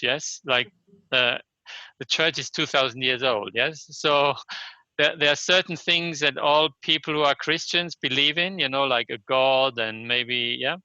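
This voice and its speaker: An older man from Germany with a German accent, answering interview questions reflectively and haltingly, often restarting and checking in with "yes?". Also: medium pitch, bright, forceful tone, no room sound, muffled recording. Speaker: interview guest, Chinese medicine practitioner and scholar.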